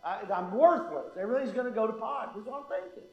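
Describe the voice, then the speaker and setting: A man with a high voice preaches earnestly, repeating his key phrases for weight and darting into quick asides. The sound is roomy, with a clear echo around him, as in a church hall. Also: lively intonation, muffled recording. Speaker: pastor. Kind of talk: sermon.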